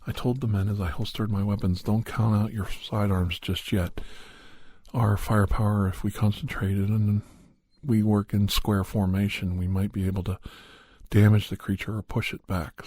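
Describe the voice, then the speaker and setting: A man with a soft, husky voice telling a story in a gentle, measured ASMR style as a podcast host. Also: fairly low pitch, no room sound.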